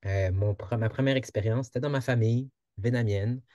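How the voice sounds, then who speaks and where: A man's voice: mid-range voice, a little fast, mellow, no room sound, muffled recording. A man in his thirties with a Québécois accent, giving an informal presentation with many fillers and restarts. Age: 30s. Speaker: project presenter. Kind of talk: informal presentation in an online info session. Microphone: video call.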